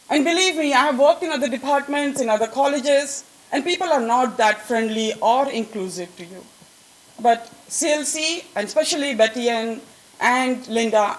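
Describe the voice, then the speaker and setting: A woman's voice: on the high side, rough recording. Chatty woman with an Indian accent. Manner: chatty, laughing at own jokes. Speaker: colleague giving a tribute speech. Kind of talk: speech at a staff event. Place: event room with an audience.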